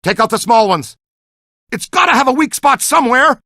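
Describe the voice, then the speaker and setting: A man voicing a brisk, wry member of a ghost-catching team in a video game. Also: very high-pitched, expressive, pushed and bright, no room sound, clean studio sound, full character voice. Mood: urgent, commanding. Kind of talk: video game character lines.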